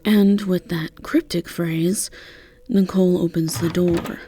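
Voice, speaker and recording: A woman's voice: lowish voice, fairly even intonation, slow pace, mellow, a little hiss or hum. A woman reading scripted second-person story narration as the narrator of an audio drama. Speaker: narrator and voice actor.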